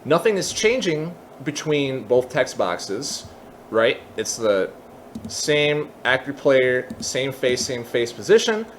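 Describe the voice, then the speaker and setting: A man with a mid-pitched voice talks through a software tutorial in a casual, explanatory way. He works it out aloud as he goes, unscripted. There is faint noise behind his voice. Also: sing-song, takes it slowly. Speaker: tutorial presenter.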